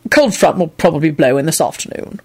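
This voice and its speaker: A woman's voice: expressive, fast, a little mellow, husky, exaggerated voice. A woman doing a sour, muttering man. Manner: low, muttering, unsteady.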